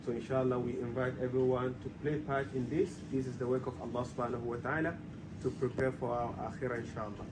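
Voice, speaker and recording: A man in his forties from Ghana, guest speaker at an evening talk, speaking softly and haltingly with many pauses and fillers. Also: medium pitch, little pitch movement, noisy background. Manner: soft-spoken, hesitant with many fillers. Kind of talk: casual lecture talk.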